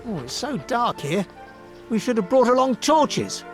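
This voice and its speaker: A man voicing a clever, level-headed little Gaulish warrior in a cartoon game, composed, brisk and wry. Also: extremely high pitch, big pitch swings, exaggerated voice. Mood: wary. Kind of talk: animated game cutscene acting. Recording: music behind the voice.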